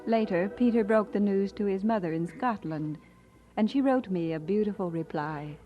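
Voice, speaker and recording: A woman's voice: mid-range voice, normal speed, lightly acted. Woman playing a devoted young bride-to-be, poised, sentimental, old radio drama, muffled vintage recording, music behind. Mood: wistful, fond. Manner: poised, sentimental, literary phrasing. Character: devoted young bride-to-be, bright, gently teasing, reflective. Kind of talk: radio drama acting and reminiscing narration.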